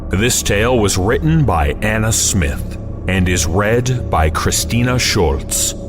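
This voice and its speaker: Male horror anthology announcer; deep register, slow scripted delivery, clear music bed. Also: big pitch swings. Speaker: announcer introducing a story. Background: prominent music.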